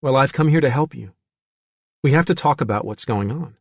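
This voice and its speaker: Audiobook role: gentle female interviewer played by a man, patient questions, dry studio closeness. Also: medium pitch, expressive, fairly quick, gravelly, dry recording, pitched above the performer's own voice. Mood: probing. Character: gentle, probing woman interviewer visiting a prisoner.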